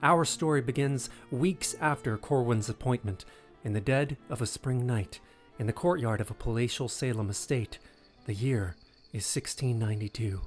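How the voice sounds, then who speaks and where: A man's voice: mid-range voice, normal speed, soft background music. A man narrating an audio drama in a scripted, dramatic storytelling style.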